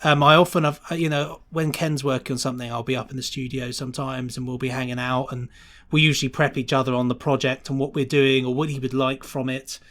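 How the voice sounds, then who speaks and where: A man talks as a guest in a relaxed interview. He is enthusiastic and chatty, wandering through his thoughts as he goes, with a mid-pitched voice. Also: fast. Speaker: podcast guest, sound designer. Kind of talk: casual interview chat.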